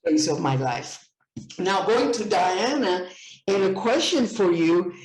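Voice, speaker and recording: A woman in her seventies from Puerto Rico speaks with eager enthusiasm, doubling back on words as her thoughts run ahead. Her voice sits at a middling pitch. The call has a roomy, echoey sound. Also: takes it slowly. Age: 70s. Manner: enthusiastic, repeats words when excited. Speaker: participant. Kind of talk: casual video-call chat.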